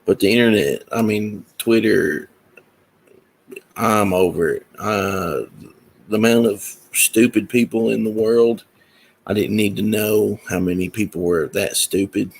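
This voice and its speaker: A man's voice: fairly low pitch, steady tone, unhurried, creaky, slight background noise, low-quality recording. A man talking casually to his viewers about his music and projects in a rambling, meandering way with many fillers. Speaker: musician and comic creator. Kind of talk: casual talk to camera.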